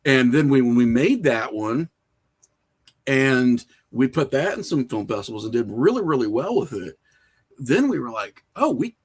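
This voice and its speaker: A middle-aged man with an American accent talks casually as a podcast guest. He tells stories in an animated way, slipping into little acted-out bits of dialogue. His pitch sits in an average male range. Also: normal speed, husky. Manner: animated, acts out imagined dialogue. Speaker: podcast guest, independent filmmaker. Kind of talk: casual storytelling in an interview.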